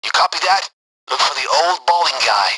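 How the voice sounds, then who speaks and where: A man voicing a brisk heist operative in a video game, giving orders over a radio. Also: on the high side, expressive, background noise. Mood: urgent, brisk. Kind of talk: video game character acting.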